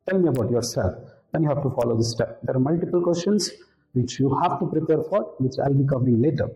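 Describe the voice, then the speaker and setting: A man's voice: fairly high pitch, mellow. Indian man coaching in an echoey room. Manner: didactic, repeats key points for emphasis. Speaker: interview skills coach, presenter. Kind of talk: instructional talk to camera. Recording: roomy, echoing room.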